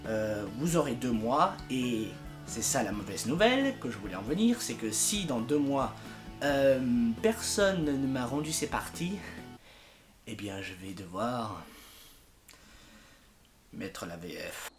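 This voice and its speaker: Animated, exasperated young man with music behind. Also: medium pitch, slow pace. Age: young adult. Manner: exasperated, emphatic, comic exaggeration. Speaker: fandub project leader and video maker. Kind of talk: casual informal vlog announcement.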